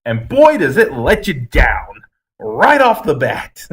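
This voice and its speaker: A man's voice: a little high, wildly swooping pitch, slow pace, fairly bright tone. A man co-hosting a podcast, giving blunt, emphatic opinions in casual chat with some swearing. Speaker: podcast co-host. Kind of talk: casual ranting movie review chat.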